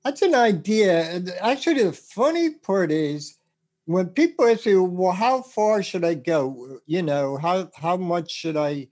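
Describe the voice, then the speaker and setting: A man hosting a podcast about the music business, rambling and animated as he gives advice, with a rough voice. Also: a little high. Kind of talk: casual advice chat.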